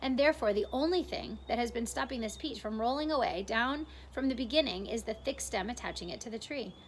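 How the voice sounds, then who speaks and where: A woman reading aloud and voicing a kind, gentle female ladybug with a patient, reassuring manner. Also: a little high, a little fast, slight background noise, natural voice.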